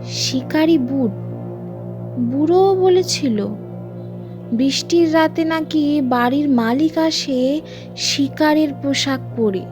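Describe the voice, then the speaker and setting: A woman acts out a frightened male friend in a ghost audiobook, high in pitch and slow to get the words out. He sounds doubtful and full of dread, with music beneath. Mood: hushed. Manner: doubtful, hushed dread and astonishment. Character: uneasy, spooked male friend exploring a haunted house.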